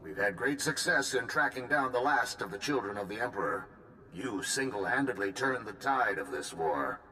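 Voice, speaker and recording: A man's voice: lowish voice, animated, normal speed, pushed and bright, a little hiss or hum, rough recording. An actor playing a male supreme commander giving a formal, urgent mission briefing in a video game cutscene. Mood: earnest. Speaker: military commander.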